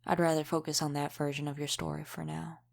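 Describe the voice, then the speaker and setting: A woman playing a centuries-old, world-weary female vampire in an audio roleplay, gruffly protective, speaking in an unhurried, wry, folksy way with dropped g's. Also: low voice, little pitch movement. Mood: gentle, reassuring. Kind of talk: intimate audio roleplay acting.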